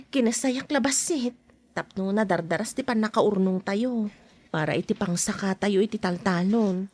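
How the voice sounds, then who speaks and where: A woman acting a married mother who keeps a secret, in a soft confiding podcast drama style. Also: mid-range voice, unhurried, natural voice. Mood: affectionate. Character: married mother at home, drawn into a secret affair. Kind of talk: Philippine podcast radio drama acting with narration.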